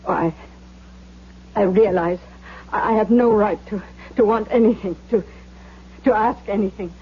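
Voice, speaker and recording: An actress playing a frail, obsequious old cleaning woman, a poor and desperate mother who speaks haltingly and imploringly in 1970s radio drama. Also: mid-range voice, husky, lo-fi sound, close to an everyday voice. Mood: pleading, humble. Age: older.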